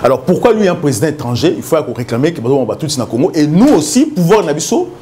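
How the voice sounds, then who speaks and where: A man hosting a political debate, insistent and quick to interrupt, often repeating himself. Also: a little high, very animated, dark tone. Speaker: debate host. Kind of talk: political debate in French and Lingala.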